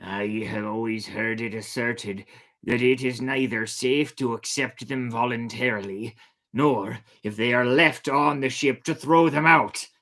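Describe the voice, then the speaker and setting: A man's voice: medium pitch, fairly even intonation, unhurried, fairly bright tone. A wary, superstitious sailor from an old sea tale, read by a man. He speaks formally and with emphasis, in storybook fashion. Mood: wary warning. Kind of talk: storybook character reading.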